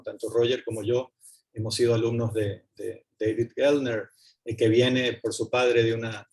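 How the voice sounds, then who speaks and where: A man's voice: mid-range voice, husky. A man speaking fluently on a video call, explaining ideas at a book presentation in an academic way. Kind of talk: academic panel talk.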